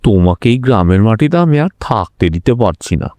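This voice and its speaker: A stern village headman in a folk-tale cartoon. The voice is low, dark and very dry, with a slow, patronising authority. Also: big pitch swings, dry recording. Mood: stern, dismissive. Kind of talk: cartoon dialogue.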